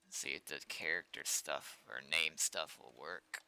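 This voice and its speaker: Slow, crisp-voiced American man thinking aloud. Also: very deep voice, bright, forceful tone. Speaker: game developer livestreaming. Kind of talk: casual livestream chat, thinking aloud while coding.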